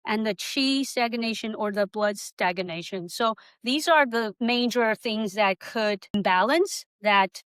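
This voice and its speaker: A woman's voice: on the high side, fairly bright tone, smooth, no room sound. A middle-aged woman from Taiwan giving an informal workshop talk, rambling and conversational, with non-native English grammar. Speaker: workshop presenter, acupuncturist.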